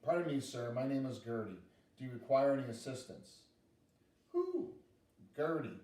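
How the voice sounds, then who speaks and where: Give me a man doing an earnest little girl scout, a touch higher and louder, in a roomy space. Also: lively intonation, moderate pace, distant mic, lightly acted. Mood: polite. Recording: echoey room, voice from afar.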